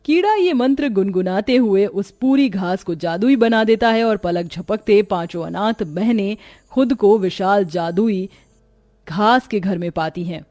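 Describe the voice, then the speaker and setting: A woman's voice: mid-range voice, expressive, unhurried, mellow, dry recording. A woman giving scripted narration for a cartoon story.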